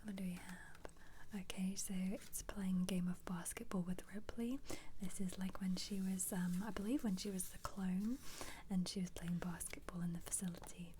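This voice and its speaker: A woman speaking in a soft, breathy, mostly whispered ASMR style, chatting casually and gently as she muses aloud over what she is looking at. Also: on the low side, steady tone, normal speed, background noise. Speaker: ASMR video creator. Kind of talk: ASMR, soft-spoken casual book flip-through commentary.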